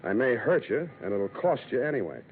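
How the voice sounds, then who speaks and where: An American actor playing a wisecracking, hard-boiled private detective in a deadpan, sardonic 1940s radio style. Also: male voice, medium pitch, a little fast, slight background noise. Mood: sardonic, tough. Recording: tinny band-limited old radio broadcast, music.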